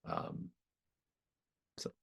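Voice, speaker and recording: A man narrating as game master of a tabletop roleplaying game, improvising in a conversational, hesitant way as he thinks aloud. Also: drawn-out words.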